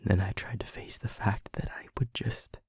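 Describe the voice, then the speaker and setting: Anguished demon lover, male actor, audio roleplay. Breathy, husky half-whisper, halting confession, very dry and close sound. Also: lowish voice, fairly even intonation, no echo. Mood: despairing. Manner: halting, slow, emotionally weighted delivery. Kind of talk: intimate dramatic audio roleplay monologue.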